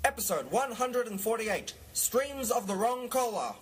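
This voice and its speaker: Loud music runs under a high-voiced amateur actor as a male announcer of an old radio detective serial. He is pompous and melodramatic, proclaiming every line like a grand 1950s broadcast. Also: big pitch swings, unhurried, full character voice. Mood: suspenseful. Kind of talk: 1950s radio drama narration.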